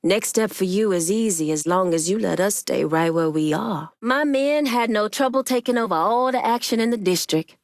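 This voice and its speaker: Actress playing a female gang boss, video game drama, commanding, wary, deliberate, folksy phrasing, mid-range voice, very dry sound. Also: medium pitch, lively intonation, dry recording, close to an everyday voice. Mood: assertive.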